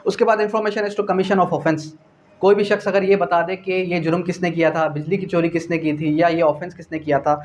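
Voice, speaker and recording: A Pakistani man teaches in a lecturer's manner, his voice pitched a little higher than average. He poses questions, answers them himself and repeats key phrases, slipping in English terms. Some noise sits behind his voice. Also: level tone, normal speed. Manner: mixes English legal terms into speech. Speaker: law lecturer. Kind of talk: classroom lecture explaining definitions and articles.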